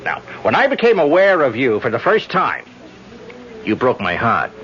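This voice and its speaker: A man's voice: mid-range voice, wildly swooping pitch, moderate pace, a bit bright. An actor playing an aging, cynical male congressman in a 1970s radio drama, folksy and chuckling with a world-weary tone. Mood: wistful. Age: older. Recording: dull vintage radio broadcast.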